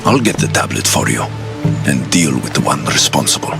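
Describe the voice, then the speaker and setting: A bold mercenary for a video game, with a deep voice that moves widely in pitch. He is cocky and dry, sure of himself. Loud dramatic music plays behind him. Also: big pitch swings, brisk, creaky, exaggerated voice. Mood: determined. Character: confident, wry mercenary man, bold and tough. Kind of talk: video game cutscene acting.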